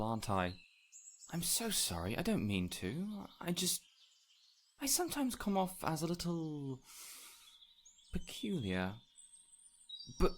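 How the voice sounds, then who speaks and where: A man playing a peculiar, eager fey man in an audio roleplay, courteous and theatrical, with flowery, excitable phrasing. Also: fairly high pitch. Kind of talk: immersive audio roleplay addressed to the listener.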